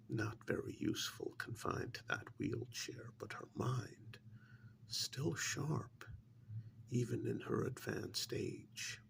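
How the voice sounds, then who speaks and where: A very slow, heavily creaky male voice slips in and out of a whisper, a man acting out a sly, menacing interrogator in an ASMR role-play. He leaves long, insinuating pauses and needles his listener with mock admiration. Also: medium pitch. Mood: insinuating, ominous. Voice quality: breathy whisper mixed with gravelly creak.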